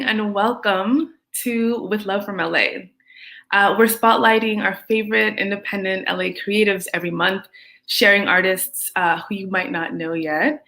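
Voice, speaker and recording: A woman's voice: mid-range voice, fairly bright tone. A young woman hosting an arts interview show, reading a friendly scripted introduction before chatting with her guest. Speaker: host.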